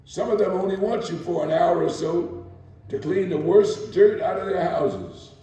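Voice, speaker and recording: A man's voice: sits high, normal speed, dark tone, voice pushed up. A man voicing a seasoned, streetwise woman day worker who gives blunt, laconic advice in a dramatic reading. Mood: dismissive, cautioning. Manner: laconic, warning, colloquial. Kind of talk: dramatic reading of archival testimony. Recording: echoey room, mic far away.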